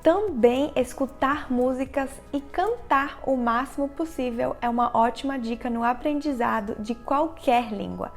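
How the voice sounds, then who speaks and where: A woman with a Brazilian Portuguese accent explaining English listening tips directly to the viewer in a clear, instructional vlog narration. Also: sits high, a little slow, hardly any pauses, soft background music. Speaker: English teacher, channel host. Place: living in the United States.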